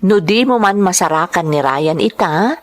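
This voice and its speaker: A woman reads scripted dramatic narration in a slightly low voice. Her delivery is measured and expressive, with lively rises and falls. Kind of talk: scripted dramatic narration of listeners' letters in Ilocano.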